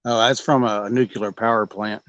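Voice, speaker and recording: A man's voice: lowish voice, steady tone, moderate pace, a bit bright, no echo, muffled recording. A man, joking and chatting casually while night fishing on a lake, coaxing the fish aloud. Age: 40s. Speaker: fishing vlog host.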